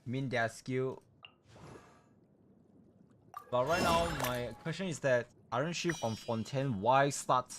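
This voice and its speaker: A man chatting casually as he hosts a game livestream, halting and repeating himself, with loose non-native English phrasing. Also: medium pitch, very noisy. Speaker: livestreamer hosting a game stream.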